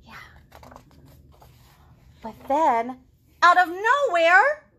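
A woman's voice: extremely high pitch, very animated, drawn-out words, pushed and bright. A woman in her thirties hosting a read-aloud storytime, talking expressively and directly to young children. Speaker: children's librarian, storytime host. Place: living room at home.

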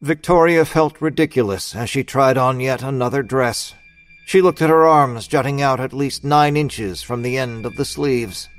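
A man reads scripted narration for an audio drama. His voice sits at a medium pitch, with soft music behind it. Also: normal speed, no room sound.

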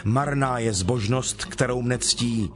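An actor playing Jesus as a stern, earnest teacher in a dramatized scripture reading, with measured, emphatic delivery. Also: male voice, medium pitch, natural voice. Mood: stern, reproving. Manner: measured, emphatic, solemn declamation. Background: music.

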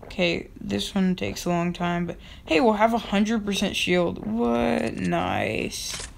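Excitable young woman narrating gameplay. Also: medium pitch, slight background noise. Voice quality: slightly raspy. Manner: excitable, yells at other players, quick laughs. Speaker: gaming channel host. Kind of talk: casual gameplay commentary.

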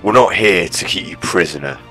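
A man's voice: deep voice, lively intonation, a little fast, pushed and bright, soft background music. A man playing a stern, menacing captor in a zombie apocalypse game roleplay. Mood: veiled threat.

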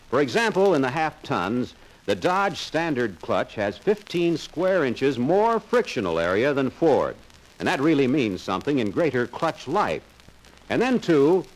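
A man's voice: mid-range voice, a little hiss or hum, low-quality recording. A man narrating a 1950s sales training film in a confident, persuasive salesman's manner.

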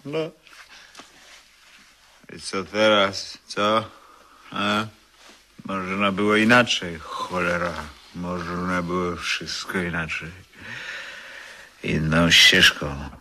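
A despairing drunk in a radio play, low and slow, with a forceful, husky voice. He rambles wistfully, sighs and repeats himself in self-pity. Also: fairly even intonation, slight background noise, exaggerated voice. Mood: maudlin, regretful. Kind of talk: Polish radio drama acting.

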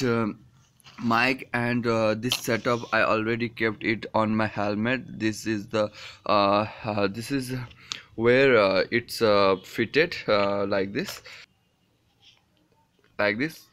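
A man in his twenties with a Nepali accent gives a casual, unscripted product review in a halting, hesitant way, with many fillers. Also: fairly low pitch, a bit bright, slight background noise. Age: 20s. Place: small furnished room indoors.

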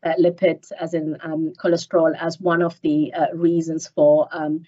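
A woman's voice: low-pitched, little pitch movement, mellow. Nasal woman lecturing over a call. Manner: measured, many ums and uhs. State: nasal from a cold. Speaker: clinical geneticist giving a talk. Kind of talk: online medical lecture. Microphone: headset on a video call. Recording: muffled video call audio.

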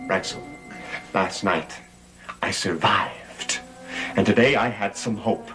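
A man's voice: lowish voice, slow. An actor in his thirties playing an anguished, cursed master in a brooding, dramatic gothic soap style. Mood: desperate, resolute. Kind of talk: gothic television soap opera acting. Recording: vintage TV soundtrack with loud music.

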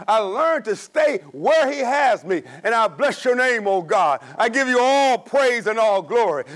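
A man's voice: very high-pitched, fairly bright tone. A man in his sixties preaching a sermon in a church, emphatic, with call-and-response questions. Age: 60s. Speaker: pastor. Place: church sanctuary with stone wall.

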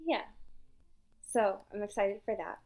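A woman's voice: mid-range voice, unhurried, darkish tone. A young woman co-hosting a book podcast, recapping chapters in a chatty way, swearing and laughing often. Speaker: podcast co-host. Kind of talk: casual book recap chat.

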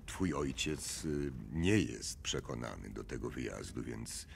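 An actor playing a courteous, hesitant businessman in a radio drama, speaking in formal, halting phrases. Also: rumbling low, lively intonation, gravelly, slight background noise, full character voice. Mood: polite, measured. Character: courteous, hesitant businessman from Łódź named Liebenthal.

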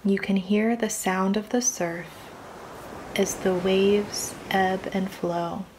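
A woman reading a scripted guided imagery meditation in a calm, soothing, measured manner with long pauses. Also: medium pitch, noisy background.

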